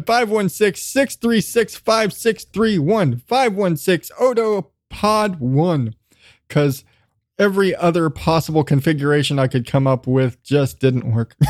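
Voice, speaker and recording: A man's voice: sits high, a little mellow, no echo, clean studio sound. A man hosting a solo podcast, chatting casually in a rambling, self-deprecating way with some casual swearing. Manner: casually profane. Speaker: podcast host.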